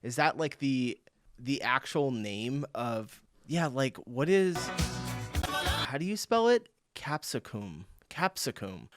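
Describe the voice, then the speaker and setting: A man from the United States hosting a casual reaction video, reading out viewer comments in a relaxed, chuckling way. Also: medium pitch, slow pace, faint music behind. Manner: laid-back, chuckling, reads out viewer comments.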